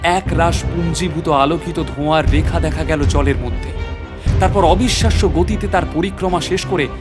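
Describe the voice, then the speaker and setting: A man plays an adventurer telling his own sea story in an audio drama. His slightly high male voice carries suspenseful, literary narration over a clear music bed. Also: normal speed, close to an everyday voice.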